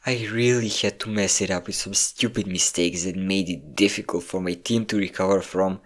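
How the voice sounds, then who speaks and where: Man acting depressed young guy, lowish voice, tearful, halting, self-deprecating, sighing, confiding in best friend, intimate audio roleplay. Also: steady tone, fairly bright tone. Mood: sad, reflective. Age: young adult.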